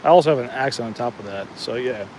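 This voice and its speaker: A man's voice: medium pitch, fast talker, darkish tone, slight background noise, poor audio. A man with a New Jersey accent chatting casually with live viewers while he fishes outdoors, answering comments with frequent restarts. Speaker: fishing livestream host. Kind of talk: casual live-stream chat while fishing. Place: outdoors at a fishing spot, walking the bank.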